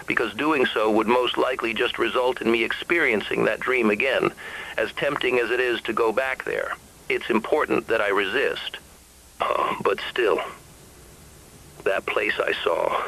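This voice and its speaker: A man acting a weary, despairing husband and father cut off by a sleepless epidemic, in a halting confessional monologue for an analog horror drama. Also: fairly low pitch, creaky, pushed and bright, exaggerated voice, poor audio. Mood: anxious, weary, then wistful, agitated.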